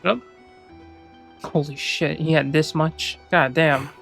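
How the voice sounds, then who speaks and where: A man's voice: fairly high pitch, fairly even intonation, slow pace, creaky, a bit bright, soft background music. A man giving casual gameplay commentary as a streamer, blunt, sweary and scornful in his reactions. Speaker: gaming streamer.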